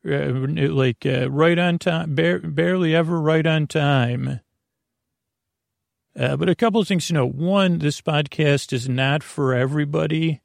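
A man with a creaky, gravelly voice hosting a bedtime podcast, rambling in a halting, meandering way and drifting off into tangents. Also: fairly high pitch, no room sound, studio quality. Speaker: podcast host.

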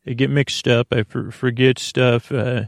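A man with a creaky voice hosting a bedtime podcast in a soothing, meandering monologue, halting and stammering through false starts. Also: mid-range voice, flat delivery, dry recording, studio quality. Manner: lulling, soothing. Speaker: podcast host.

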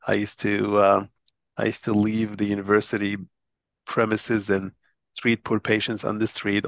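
Gravelly low-voiced man, dry dull sound. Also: dry recording. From Lebanon. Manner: earnest, animated explainer with frequent restarts and laughs. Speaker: interview guest, physician and company chief. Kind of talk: conversational interview.

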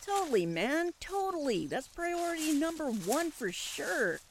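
Lively, slangy young male sidekick. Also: sits high, unhurried, noisy background, heavily acted. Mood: eager agreeing. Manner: slangy, eager to agree, hesitant questions. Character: young, dim, agreeable male sidekick henchman, timidly questioning. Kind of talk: comic audio drama acting.

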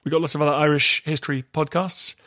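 A man's voice: a little high, fairly even intonation, fast talker, fairly bright tone, dry recording. Man, podcast host, chatty, jokey asides, dry close sound. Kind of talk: podcast intro and interview.

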